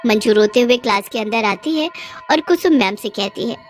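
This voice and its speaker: A woman's voice: fairly high pitch, lively intonation, moderate pace, soft background music. A woman giving scripted narration of children's stories as a storyteller.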